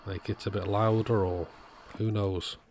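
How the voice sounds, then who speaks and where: Low-pitched man, matter-of-fact. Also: little pitch movement, measured pace, a little mellow, a little hiss or hum. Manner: matter-of-fact, thinking aloud, understated. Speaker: electronics repairer presenting own channel. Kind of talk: casual repair walkthrough commentary. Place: indoors at a workbench.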